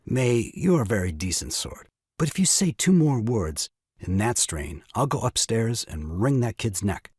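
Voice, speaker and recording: A man's voice: fairly low pitch, big pitch swings, normal speed, a little mellow, breathy edge, dry recording, studio quality. An actor playing a self-pitying, exasperated new father in an audio farce, with grandiloquent, melodramatic delivery. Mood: indignant. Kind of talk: theatrical audio drama farce acting.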